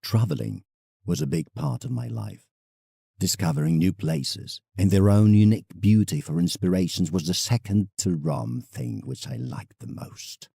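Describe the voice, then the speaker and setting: A man's voice: sits low, lively intonation, moderate pace, vocal fry, mellow, husky, dry recording, studio quality, heavily acted. A man playing a proud, reflective English landscape painter in an audio drama, telling his travels formally as a letter to a friend.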